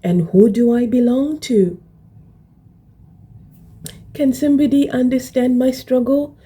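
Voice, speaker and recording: A woman in her early forties with a mixed Zimbabwean and British accent, talking reflectively and deliberately about personal experience, repeating phrases for emphasis. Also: sits high, dark tone, breathy edge. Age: early 40s. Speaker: video blogger. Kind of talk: personal reflective talk to camera.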